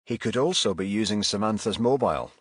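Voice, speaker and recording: Man playing decisive detective boss, lively intonation. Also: fairly low pitch, a little fast, slightly rough, no room sound, studio quality, pitched above the performer's own voice. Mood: businesslike.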